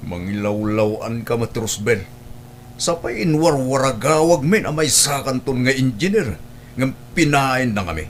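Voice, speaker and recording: A man's voice: medium pitch, animated, measured pace. A man with an Ilocano Filipino accent playing a proud, easily disappointed father in a family audio drama. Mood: scolding. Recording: clear background noise with hum.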